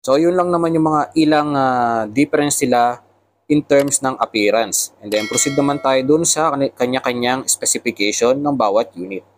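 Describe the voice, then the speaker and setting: A man's voice: medium pitch, normal speed. A man with a Filipino accent giving a casual product tutorial, explaining things step by step as a motovlogger. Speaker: motovlogger reviewing motorcycle intercoms.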